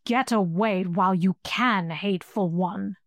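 Woman reader as a stern male druid: menacing, commanding, slow, dry close sound. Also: mid-range voice, no room sound, close to an everyday voice.

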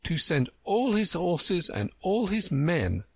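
A man voicing a polite, curious young girl from a storybook, gentle and earnest. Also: high voice, very animated, slow, breathy edge, no echo, clean studio sound, pitched above the performer's own voice, exaggerated voice. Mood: eager, gentle. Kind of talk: storybook character reading.

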